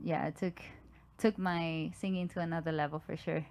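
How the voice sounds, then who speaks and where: A woman from New York, a musician guest, chatting softly with many pauses and fillers. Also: deep voice, steady tone. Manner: soft-spoken, many fillers and restarts. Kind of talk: casual interview chat. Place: home live stream with guitar. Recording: live guitar music loud behind. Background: live guitar and singing.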